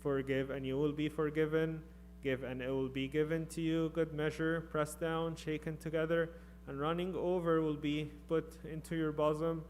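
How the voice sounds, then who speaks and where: A man giving a formal, solemn liturgical reading of scripture in measured phrases. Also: fairly high pitch, little pitch movement, a little mellow, a little hiss or hum. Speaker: scripture reader in church.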